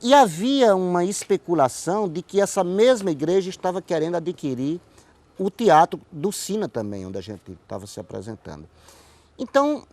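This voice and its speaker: Male interview guest, relaxed dark timbre, Northeastern Brazilian accent, conversational storyteller who restarts and repeats for emphasis. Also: fairly high pitch. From Paraíba, Brazil. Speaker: interview guest, writer and playwright. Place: TV studio.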